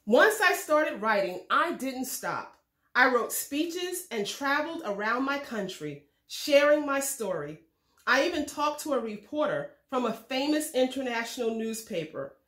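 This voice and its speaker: A woman narrates a children's picture book in a fairly high voice. Her phrasing is measured and expressive, as in a read-aloud for young listeners. The recording has a clearly echoey, roomy sound. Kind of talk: scripted storybook narration for children.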